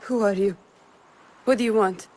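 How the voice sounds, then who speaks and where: A woman's voice: mid-range voice, normal speed, a little mellow, close to an everyday voice. An actress voicing a frantic, tearful widowed mother in a game. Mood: wary. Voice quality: tearful, pleading.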